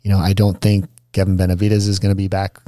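A man's voice: sits low, monotone, quick pace, dark tone, no room sound, studio quality. A man co-hosting a podcast in casual chat, rambling along with fillers and restarts. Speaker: podcast co-host.

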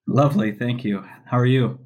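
A man's voice: medium pitch, normal speed, darkish tone. A man hosting an interview podcast, introducing a guest and asking questions in a friendly way with frequent fillers. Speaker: podcast host.

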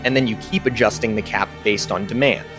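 A man reading scripted narration for a business podcast in a polished, even delivery. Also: fairly low pitch, fairly quick, music in the background.

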